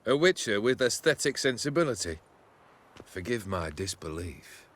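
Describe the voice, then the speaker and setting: A man's voice: lowish voice, big pitch swings, normal speed, a bit bright, slight background noise. A man playing a proud, troubled nobleman in a video game, with a haughty, theatrical way of speaking. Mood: sceptical, haughty.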